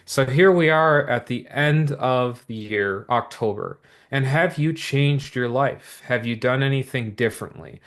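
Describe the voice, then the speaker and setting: A man pitches a business opportunity to an online audience with steady, eager energy. He keeps circling back on his points, tossing in quick asides and rhetorical questions. His voice sits at an ordinary middle pitch for a man. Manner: energetic, repetitive motivational patter with many asides. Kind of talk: upbeat sales pitch to viewers.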